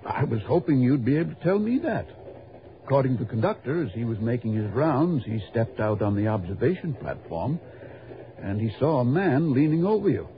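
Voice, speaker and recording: An actor playing a kindly old doctor, soothing, fatherly and gently fussing, in an old radio drama. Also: medium pitch, sing-song, a little fast, close to an everyday voice. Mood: kindly, reassuring. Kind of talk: 1950s-60s radio drama acting. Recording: noisy dull old radio recording.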